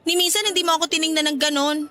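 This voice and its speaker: A woman's voice: very high voice, fairly even intonation, rapid-fire, sharp tone. A woman playing a jealous, insecure romantic partner, sulky and accusing, in radio drama dialogue.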